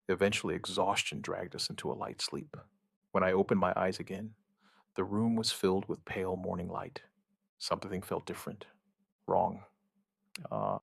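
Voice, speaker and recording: A man narrating a scripted first-person survivor horror story, gravely and in short, measured phrases with dramatic pauses. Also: low voice, a bit bright, no echo, clean studio sound.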